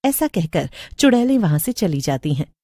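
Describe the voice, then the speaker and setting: A woman's voice: fairly low pitch, big pitch swings, moderate pace, dark tone, no room sound, studio quality. A woman narrating a scripted story as a storyteller.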